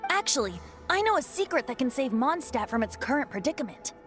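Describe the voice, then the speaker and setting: A woman's voice: high-pitched, sing-song, fairly quick, fairly bright tone, soft background music. A woman voicing a playful, boastful young male bard in a video game, with a light, teasing manner. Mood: charming.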